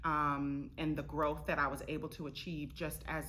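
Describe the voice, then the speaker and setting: A woman chatting casually as an interview guest, telling things in an emphatic, expressive way. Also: deep voice, normal speed, a little hiss or hum. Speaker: interview guest, actor, director and writer.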